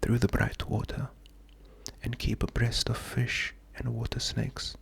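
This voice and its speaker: A man doing a slow, soft-spoken ASMR poetry reading, gentle and calming, half-whispered with a breathy, creaky voice. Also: mid-range voice. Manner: gentle, unhurried, calming, often repeating words. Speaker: ASMR host.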